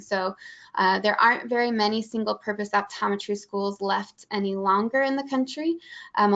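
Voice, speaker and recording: A woman's voice: mid-range voice, gravelly, fairly bright tone. A woman with a Michigan Midwestern accent presenting admissions information in a webinar, informative, with many ums and restarts. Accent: Midwestern American (Michigan). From Michigan, USA. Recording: dull lo-fi webinar audio.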